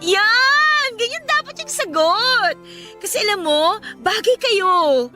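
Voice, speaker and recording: A woman's voice: very high-pitched, expressive, slow pace, over music, heavily acted. An actress playing a bubbly, pushy young Filipino woman who teases and gushes in a radio drama. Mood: gushing, thrilled. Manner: gushing, teasing, exclamatory. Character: bubbly, pushy young female friend teasing about romance.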